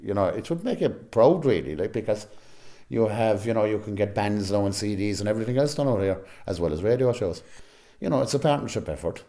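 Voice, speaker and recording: A man's voice: fairly low pitch, animated, brisk, mellow. An Irish-accented man speaking casually as an interviewee, chatty and peppering his talk with "you know". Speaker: amateur actor, interviewee.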